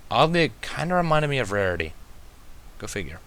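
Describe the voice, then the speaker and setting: A man's voice: medium pitch, sing-song, fairly quick, fairly bright tone. A man narrating a first-person fan fiction story as a reader.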